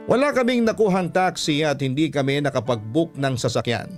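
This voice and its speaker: A man narrating a story in the first person as a radio storyteller, measured and reflective. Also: medium pitch.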